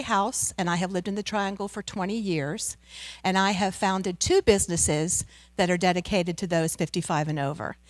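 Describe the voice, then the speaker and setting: Loud chatty american woman, middle-aged, giving a talk, dry sound, handheld mic. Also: medium pitch, no room sound. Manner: loud, upbeat and talkative. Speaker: guest speaker, travel business owner. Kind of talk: seminar talk. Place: community center room.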